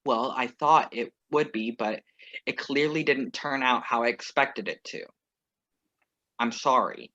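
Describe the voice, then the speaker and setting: A man's voice: mid-range voice, pushed and bright. A man playing a wry, defiant ex-lover. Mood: earnest. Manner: measured, wry, earnest. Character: composed ex-lover crashing a wedding, wry and defiant. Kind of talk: staged play reading over video call. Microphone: video call. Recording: muffled video call audio.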